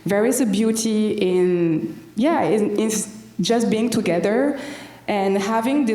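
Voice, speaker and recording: A woman with a strong French accent talks on a panel, halting often and restarting her sentences. Her voice is soft and mellow. She sounds a little distant from the mic, in an echoing room. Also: medium pitch. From France. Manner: hesitant, many fillers and restarts. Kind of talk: panel discussion. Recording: roomy hall, mic at a distance.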